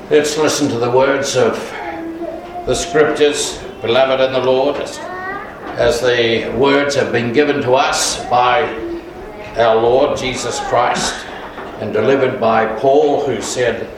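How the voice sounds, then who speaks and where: A man leading a communion service, speaking quietly and solemnly in prayer without a microphone. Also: medium pitch, slow, long pauses. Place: large echoey church without a mic. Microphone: distant room recording. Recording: far-off voice in an echoey hall with noise.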